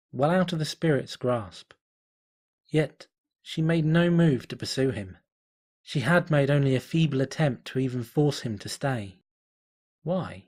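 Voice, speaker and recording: A man reading a fan story aloud as narrator, in a steady, measured way. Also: medium pitch. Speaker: fanfiction reader.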